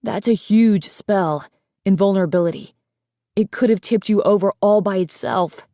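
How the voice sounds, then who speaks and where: A woman voicing a gentle, anxious young male dragon in an audiobook, earnest and pleading. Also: medium pitch, moderate pace, no room sound, studio quality, natural voice. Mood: tearful, pleading.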